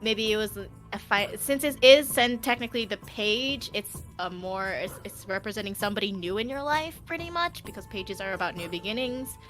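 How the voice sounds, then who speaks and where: A young adult woman doing a casual live-stream tarot reading, speaking in a halting, giggly way with repeated filler phrases. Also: mid-range voice, fairly bright tone. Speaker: tarot card reader. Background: background music.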